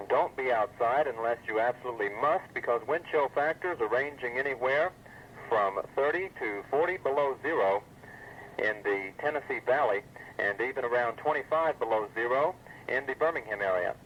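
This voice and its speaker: A man's voice: medium pitch, normal speed. A man reading a scripted weather forecast as an announcer on an old weather radio broadcast. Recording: muffled, noisy 1980s weather radio broadcast.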